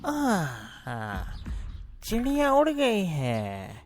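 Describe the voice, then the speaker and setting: A woman voicing a sly, greedy male cartoon fox who gloats and cackles theatrically in a drawn-out way. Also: low-pitched, huge pitch swings, slight background noise, full character voice. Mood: greedy glee. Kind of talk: cartoon moral story voice acting.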